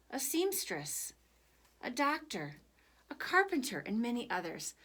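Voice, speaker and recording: Woman reading a children's story aloud. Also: on the high side.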